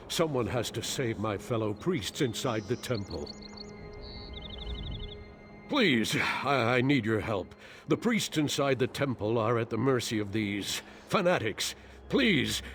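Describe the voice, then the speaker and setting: Anxious orc priest, pleading, urgent, widely swinging pitch, fantasy video game, music underneath. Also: male voice. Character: frightened, pleading male orc temple priest. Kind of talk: video game character acting.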